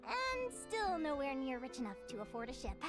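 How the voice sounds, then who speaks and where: A bubbly young adventurer girl in a video game, her voice sitting very high and swooping up and down with eager exclamations. She giggles and gushes about her dreams, with music playing behind her. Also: sing-song, normal speed. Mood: cheerful. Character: cheery young woman adventurer dreaming of a ship.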